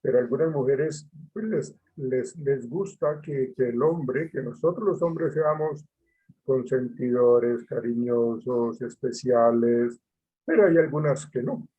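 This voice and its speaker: A man's voice: mid-range voice, slow pace, mellow, low-quality recording. A man, a teacher leading an online class discussion, hesitant with many fillers and repetitions.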